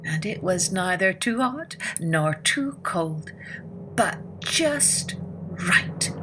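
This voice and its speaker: A woman's voice: fairly low pitch, gravelly. A woman narrating a children's fairytale as a podcast storyteller, expressively and with dramatic emphasis.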